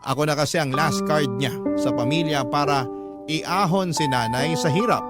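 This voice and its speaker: Male radio drama narrator, fairly high voice, scripted dramatic storytelling, loud musical backing. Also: normal speed. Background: prominent background music.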